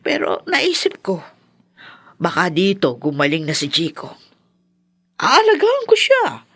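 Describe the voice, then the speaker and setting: A woman's voice: medium pitch, huge pitch swings, a little slow, fairly bright tone, slightly rough, full character voice. An older woman playing an insistent, possessive grandmother in a radio drama. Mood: pleading, sweet. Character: insistent grandmother, possessive mother of a grown son. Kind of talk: Tagalog radio drama acting.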